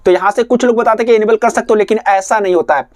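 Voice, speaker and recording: A man's voice: high-pitched, steady tone, racing, no echo, clean studio sound. A man narrating a step-by-step phone tutorial in a repetitive, instructional way. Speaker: tech tutorial host.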